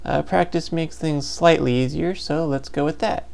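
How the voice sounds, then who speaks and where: A man hosting a let's play, casually explaining a game in a hesitant, low-key way. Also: moderate pace, creaky, darkish tone. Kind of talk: casual game commentary, reading on-screen text aloud. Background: game music.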